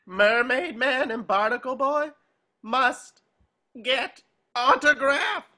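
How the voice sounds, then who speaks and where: A performer playing an excitable, childlike male sea sponge in a cartoon table reading, gushing and pleading. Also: high voice, takes it slowly, full character voice. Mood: starstruck, giddy.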